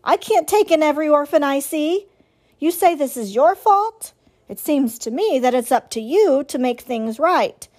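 A woman's voice: high-pitched, animated, normal speed, a little mellow, voice pushed up. A woman voicing a brusque old female goose in a storybook, squawking and matter-of-fact.

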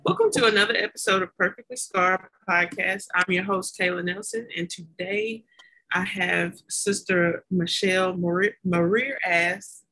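A woman's voice: fairly low pitch, normal speed, rough recording. A woman with a Southern American accent hosting a faith podcast, chatty and laughing at her own slip-ups. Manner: warm, chatty, stumbles over words and laughs at herself. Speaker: podcast host. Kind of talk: casual faith podcast interview.

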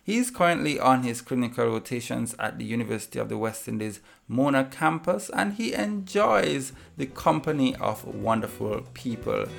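Male podcast host, mid-pitched, gravelly, faint music underneath. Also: animated, normal speed, few pauses. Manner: reads a formal introduction, then asks questions. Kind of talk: interview.

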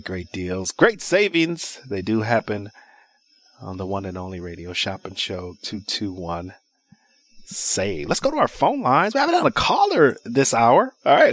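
A man hosting a live radio shopping show from a studio, rattling off deals in an upbeat sales patter and repeating the call-in number. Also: medium pitch. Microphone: studio microphone.

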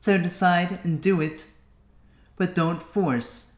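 A man's voice: sits high, fairly even intonation. Musing podcast man in an echoey room. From United States. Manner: musing, self-talking, with long pauses. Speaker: podcast host. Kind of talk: solo reflective podcast monologue.

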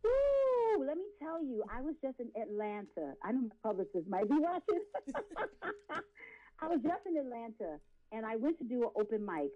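A woman's voice: high voice, big pitch swings, moderate pace, mellow, highs cut off. A woman in her late forties giving testimony as an interview guest, speaking in an impassioned, preachy, emphatic way with repeated phrases. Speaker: guest, R&B and gospel singer.

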